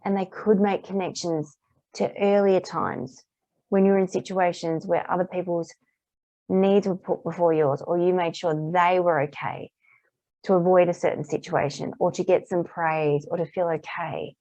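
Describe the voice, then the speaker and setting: Woman practitioner leading a tapping lesson: warm, slightly low, steady and repetitive, slightly hoarse; muffled close recording. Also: moderate pace, no room sound. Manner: calm, repetitive guiding phrases. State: slightly hoarse, clearing throat. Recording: dull, muffled dry recording.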